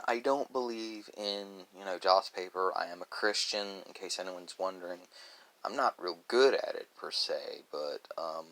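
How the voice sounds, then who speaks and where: A man chatting casually in an ASMR video, rambling and halting, with frequent asides. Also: sits low, pushed and bright, low-quality recording. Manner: rambling, halting, with asides and repeated "you know".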